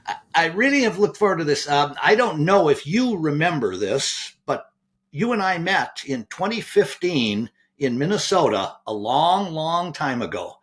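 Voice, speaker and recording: A man's voice: fairly high pitch, big pitch swings, normal speed, fairly bright tone. A man hosting a podcast, introducing his guest and asking viewers to subscribe, eager and prone to restarting his sentences. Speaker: podcast host.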